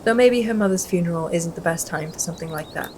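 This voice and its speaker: A woman hosting a gaming video, reading the story aloud and commenting in a casual, expressive manner. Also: fairly low pitch, fast talker, a little hiss or hum. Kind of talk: playthrough commentary and read-aloud narration. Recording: music behind the voice. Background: music.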